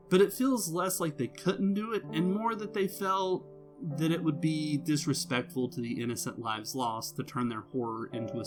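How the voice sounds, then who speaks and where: A man reads a scripted video game review as the channel's host, measured and phrase by phrase, with emphatic pauses. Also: medium pitch. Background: music under the voice.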